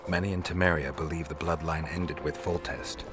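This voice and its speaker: World-weary monster hunter, very low pitch, calm, cynical, deadpan, fantasy game dialogue, soft music behind. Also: male voice. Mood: wry, wary. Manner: measured, deadpan, wry. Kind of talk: dramatic video game acting.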